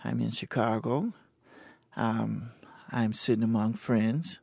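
An older man in his sixties talks with a deeply gravelly, husky voice. His delivery is plain and halting, unhurried as he tells his own story. The sound is dry and close. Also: steady tone, a little mellow, no room sound. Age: 60s. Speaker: interviewee. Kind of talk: recorded personal conversation. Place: indoors at a cultural center.

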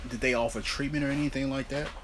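A man in his thirties, an advocate, interviewing a guest in an earnest, steady manner with some fillers. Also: medium pitch, fast talker, noisy background. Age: 30s. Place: indoors, seated interview.

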